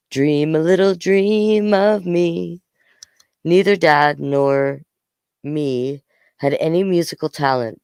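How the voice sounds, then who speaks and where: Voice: medium pitch, creaky, a little mellow, clear, resonant tone, no room sound, studio quality. A storyteller reading a children's novel aloud in an informal way, acting out the dialogue. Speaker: storyteller, host of a read-aloud series.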